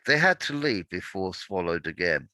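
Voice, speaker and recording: A man reading a dark adventure story aloud in dramatic narration, now and then stumbling over words and correcting himself. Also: low-pitched, sing-song, moderate pace, no echo.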